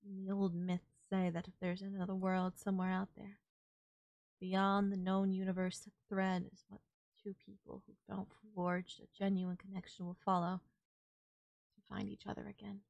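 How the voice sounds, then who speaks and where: Woman doing an alien girlfriend for a romance audio rp, gentle n heartfelt, super dry close mic. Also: medium pitch, completely flat delivery, moderate pace, vocal fry, dry recording, dull audio, natural voice. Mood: wistful, storytelling. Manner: soft-hearted, reassuring, gently teasing, addressing a lover. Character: tender alien girlfriend saying a sad goodbye. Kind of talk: intimate romantic audio roleplay, ASMR-like.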